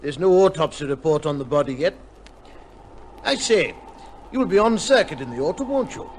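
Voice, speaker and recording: An actor playing a genial, chatty barrister with a breezy manner in an old-time radio drama. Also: male voice, expressive, moderate pace, natural voice. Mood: chatty, curious. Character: genial, chatty barrister acquaintance met on train. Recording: noisy old radio, vehicle rumble behind.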